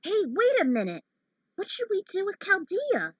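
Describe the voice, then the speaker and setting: A woman's voice: very high-pitched, huge pitch swings, normal speed, no echo, highs cut off, pitched above the performer's own voice, full character voice. A woman voicing a startled, hesitant young hero in a fan fiction story, urgent and questioning. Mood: startled, unsure.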